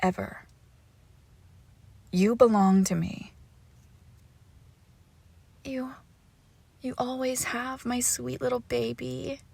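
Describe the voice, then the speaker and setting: A woman playing a lovesick, possessive young witch in an intimate roleplay audio, cooing haltingly and tenderly with a creepy edge, often dropping into whispers. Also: on the high side, dry recording. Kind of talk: intimate roleplay audio acting, close to the listener.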